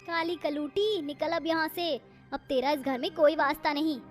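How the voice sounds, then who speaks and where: Woman acting a very high-pitched scolding in-law. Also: steady tone, moderate pace, soft background music, close to an everyday voice. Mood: harsh, contemptuous. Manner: sharp, commanding scolding. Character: scornful, bullying female in-laws of a village household. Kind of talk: cartoon moral story acting.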